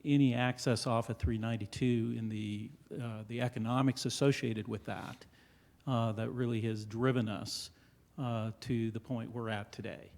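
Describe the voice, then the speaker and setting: Guy with a big voice pitching to the town board, lots of uhs, normal pitch. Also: steady tone, moderate pace, vocal fry. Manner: loud and hesitant, many fillers. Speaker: developer's representative. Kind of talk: presentation at a town board meeting. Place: town council chamber.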